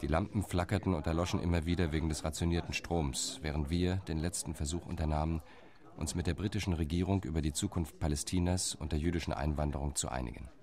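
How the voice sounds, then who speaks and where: A man's voice: extremely low pitch, fairly even intonation, fast, darkish tone. An actor playing an older Zionist negotiator, narrating his memories in a wry, reflective way. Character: Zionist negotiator, older man, dry and ironic. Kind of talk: radio drama memoir narration.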